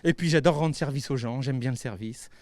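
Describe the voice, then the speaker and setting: A man's voice: medium pitch, brisk, darkish tone, no room sound. A man who makes videos and works as a ventriloquist, talking easily and enthusiastically in a casual chat.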